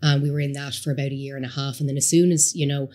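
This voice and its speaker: A woman's voice: a bass voice, steady tone, rapid-fire, warm tone. Woman, Dublin accent, 30s, candid storyteller, casual podcast guest. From Somalia. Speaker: guest entrepreneur. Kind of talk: casual interview conversation.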